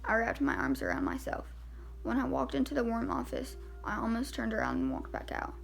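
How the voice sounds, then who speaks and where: Heavily creaky-voiced woman narrating a novel. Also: a little high, huge pitch swings, brisk, faint music behind. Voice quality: very creaky, gravelly fry. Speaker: amateur audiobook reader. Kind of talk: scripted novel narration, first person.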